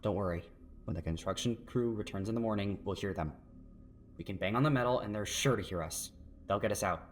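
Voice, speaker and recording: A male actor plays a young man leading his trapped friends in a horror audio drama. His voice is on the low side, hushed and steady, over faint background noise. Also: a little fast, natural voice. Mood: reassuring.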